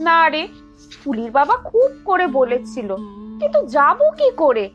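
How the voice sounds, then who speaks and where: Woman as a very high-pitched, coaxing mother. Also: huge pitch swings, a little slow, dull audio, faint music behind, full character voice. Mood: fretting. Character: practical, busy, gently coaxing mother. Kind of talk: children's cartoon voice acting.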